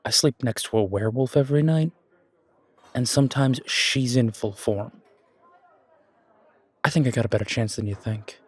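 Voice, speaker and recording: A man's voice: medium pitch, moderate pace, long pauses, slightly rough, no echo, a faint crowd, lightly acted. A man playing a down-to-earth, blunt human restaurant owner in an audio roleplay, plain-spoken and understated. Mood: determined. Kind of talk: fantasy audio roleplay acting.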